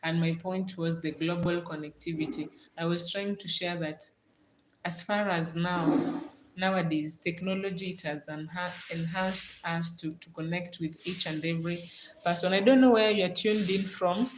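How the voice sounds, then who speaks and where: Female livestream host, Kenyan accent, slightly low voice, conversational and repetitive for emphasis, roomy sound. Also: fairly even intonation, moderate pace. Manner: repeats phrases for emphasis. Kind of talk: casual livestream talk. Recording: roomy echo, clear background noise.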